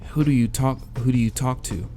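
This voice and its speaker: A man's voice: medium pitch, fairly even intonation, fairly quick, mellow, faint music behind, natural voice. A man voicing a blunt, impatient human man, the casual-spoken protagonist of a visual novel. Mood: offhand.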